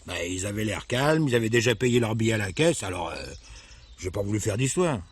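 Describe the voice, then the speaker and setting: A man's voice: on the low side, animated, a little fast, slightly rough. An actor playing a plain-spoken, deferential village fireman giving testimony in a colloquial, hesitant way, in a French radio crime drama. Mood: chatty, confiding.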